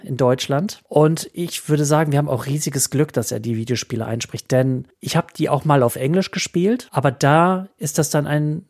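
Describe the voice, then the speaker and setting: A man's voice: on the high side, normal speed, few pauses, darkish tone. A man co-hosting a podcast, chatting playfully with some fillers. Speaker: podcast co-host. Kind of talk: casual podcast talk.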